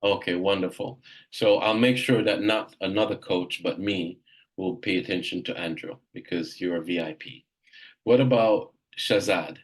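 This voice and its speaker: A man's voice: low voice, normal speed, low-quality recording. Emphatic Jamaican-born man coaching a group. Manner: direct, emphatic, repeats himself. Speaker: real estate coach. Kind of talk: live group coaching session.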